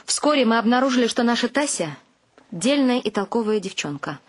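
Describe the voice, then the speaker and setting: An actress playing an earnest, slightly bossy woman who also narrates a radio play, her voice husky. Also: on the high side, expressive, a little fast. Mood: dry narration.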